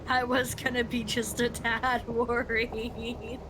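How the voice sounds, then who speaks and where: A woman's voice: a little high, flat delivery, slow pace, noisy background. A woman playing in a tabletop game, chatting casually with joking, dry asides. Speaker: player.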